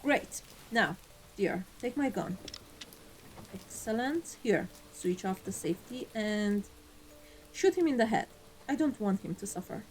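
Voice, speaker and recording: A woman playing an amused, ruthless vampire mafia boss in a scripted ASMR roleplay, speaking in a deliberate, theatrical, condescending way. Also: medium pitch, animated, close to an everyday voice, rough recording. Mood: coldly ruthless. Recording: voice over background music.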